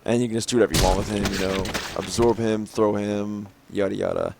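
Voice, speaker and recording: Young male gameplay narrator, lowish and a little raspy, casual and rambling, clear game gunfire under him. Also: steady tone, fairly quick, noisy background. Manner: rambling, frequent fillers and throat clearing. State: slightly raspy from lack of sleep. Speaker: gameplay video host. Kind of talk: mod showcase commentary. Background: game gunfire and clicks.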